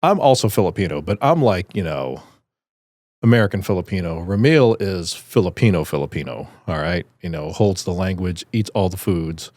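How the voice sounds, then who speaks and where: A man's voice: low-pitched, animated, moderate pace, darkish tone, no echo. A man hosting a podcast, asking questions in a chatty, joking way with lots of "you know.". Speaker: podcast host. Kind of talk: casual interview. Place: podcast studio.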